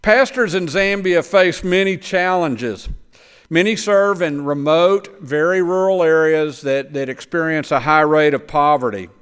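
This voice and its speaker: A man with a high, smooth and resonant voice explains things plainly and sometimes stumbles over words. His talk rings with a long reverb through a church sanctuary. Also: measured pace. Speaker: missionary agriculture lecturer. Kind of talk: church presentation with slides.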